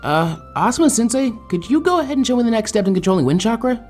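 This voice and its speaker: A man voicing an excitable young ninja boy, eager and pleading, in an audiobook story. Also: very high voice, fast, soft background music, voice pushed up. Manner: eager, interrupting, beseeching. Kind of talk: fan fiction audiobook character voice.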